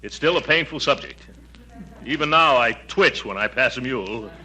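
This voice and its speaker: An actor playing a pompous but kindly middle-aged uncle in 1940s radio comedy, with hesitant hems and hearty chuckles. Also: male voice, mid-range voice, lively intonation, normal speed, lightly acted. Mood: mock dignified. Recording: noisy, crackly old radio broadcast.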